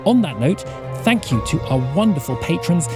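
Lively male credits announcer over music. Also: a little high, moderate pace. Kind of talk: scripted narration. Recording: music under the voice.

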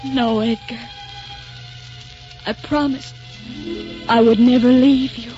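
High, slow, frail devoted wife. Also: female voice, steady tone, warm tone. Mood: gentle devotion. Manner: tender, wistful, lingering delivery. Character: frail, dying young wife, devoted and dreamy. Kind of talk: 1940s radio drama acting. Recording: muffled old 1940s radio recording, music behind.

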